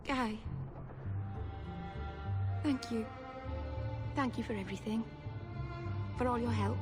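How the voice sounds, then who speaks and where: An actress playing a naive, earnest young elf woman in a video game, speaking gently and hesitantly. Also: fairly high pitch. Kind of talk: video game cutscene acting. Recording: music behind the voice.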